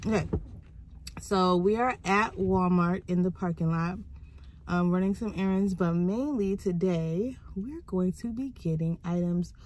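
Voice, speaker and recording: Hoarse, chatty woman in a car. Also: fairly low pitch, unhurried, a little hiss or hum. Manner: chatty, animated storytelling. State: hoarse from a cold. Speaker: vlogger mother. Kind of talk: casual vlog chat. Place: parked car, indoors. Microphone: phone held at arm's length.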